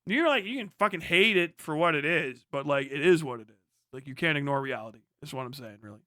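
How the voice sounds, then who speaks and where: A man's voice: on the high side, lively intonation, quick pace, sharp tone, dry recording, clean studio sound. A man hosting a casual livestream, giving blunt, profane, slangy political commentary and talking back to chat. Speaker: livestream host.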